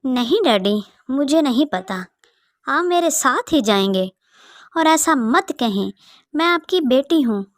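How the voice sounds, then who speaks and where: A woman's voice: high voice, animated, takes it slowly, no room sound, studio quality. A woman playing a devoted, earnest and insistent adult daughter in a dramatized cartoon moral story.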